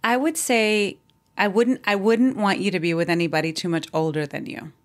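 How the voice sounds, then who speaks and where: A woman's voice: mid-range voice, fairly even intonation, moderate pace. A woman in her forties giving frank relationship advice in a casual podcast conversation. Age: 40s. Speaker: relationship expert guest.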